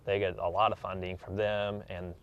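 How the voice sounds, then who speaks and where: A man's voice: deep voice, level tone, moderate pace, lo-fi sound, slight background noise. A young American man in his twenties, an athlete giving a casual interview in an earnest, modest way. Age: 20s. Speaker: track athlete being interviewed.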